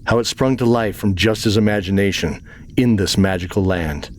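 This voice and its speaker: A man's voice: fairly low pitch, moderate pace, a little hiss or hum. A man narrating a scripted fantasy adventure story as the narrator of an audio drama.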